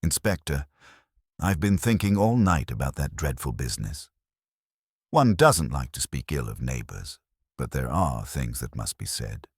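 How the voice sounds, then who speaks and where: A man's voice: rumbling low, big pitch swings, unhurried, husky, dry recording, clean studio sound, full character voice. A man reading the part of a prim, gossipy townswoman in a confiding, insinuating manner for a mystery story.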